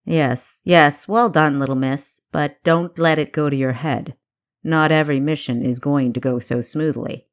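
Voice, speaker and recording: Woman playing dry, low-voiced male spymaster mentor. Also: steady tone. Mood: mock thoughtful, teasing. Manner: dry, measured, mock-thoughtful teasing. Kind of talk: audiobook character dialogue.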